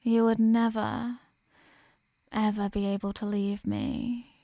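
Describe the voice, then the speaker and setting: A woman's voice: mid-range voice, level tone, slow pace, warm tone, no room sound. A woman playing a possessive, dominant vampire in an ASMR roleplay, speaking in a teasing, condescending manner with formal phrasing. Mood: teasing, seductive. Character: possessive, dominant female vampire toying with captive prey. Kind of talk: ASMR roleplay, scripted intimate monologue.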